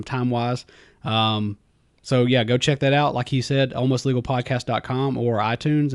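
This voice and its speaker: Man, raspy sandy voice, podcast co-host, chatty, dry close recording. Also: mid-range voice, no room sound. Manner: chatty, casual, frequent ums. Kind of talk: casual podcast chat.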